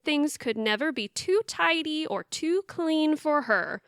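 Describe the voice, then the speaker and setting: A woman's voice: high voice, lively intonation, measured pace, a bit bright, no room sound, studio quality. A woman playing a wry, exasperated young adult daughter in an audio theatre comedy-drama. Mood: wry, confiding. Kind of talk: audio theatre acting with confiding narration.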